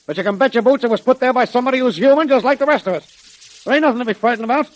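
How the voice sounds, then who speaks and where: High-pitched, snarling gang boss. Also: male voice, racing, no room sound. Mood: panicky bluster. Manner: snarling, slangy tough-guy talk. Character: bullying, blustering male gang boss of a mining town. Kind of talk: 1930s radio acting. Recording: vintage 1930s radio recording.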